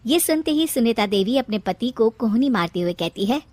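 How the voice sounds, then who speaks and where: A woman's voice: a little high, animated, normal speed, darkish tone, dry recording. A woman narrating a scripted cartoon family story in a storytelling style.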